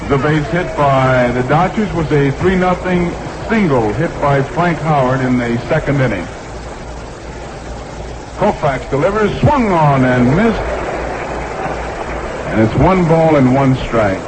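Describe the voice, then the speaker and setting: A man's voice: fairly high pitch, lively intonation, unhurried, long pauses. A man doing live 1960s radio baseball play-by-play in short, clipped phrases, repeating the count. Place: ballpark broadcast booth. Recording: noisy vintage 1960s radio broadcast.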